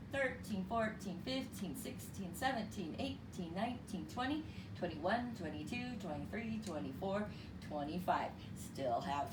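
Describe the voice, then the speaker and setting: Female children's story reader, very expressive and unhurried, teacherly; recorded at a distance from the mic with noticeable noise. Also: medium pitch. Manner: animated, deliberate, teacherly delivery for children. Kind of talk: expressive read-aloud storytelling with counting lesson. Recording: roomy, distant mic with clear background noise.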